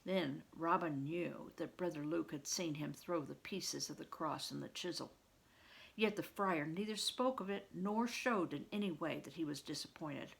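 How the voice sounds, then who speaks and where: A woman's voice: low-pitched, moderate pace, creaky, low-quality recording. An older woman narrating a storybook aloud as a reader. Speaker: storybook reader, retired reading teacher.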